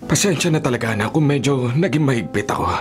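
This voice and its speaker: Actor as dutiful middle-aged mayor, measured and earnest. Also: male voice, medium pitch, expressive, natural voice. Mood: apologetic, worried. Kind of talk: Filipino radio drama acting.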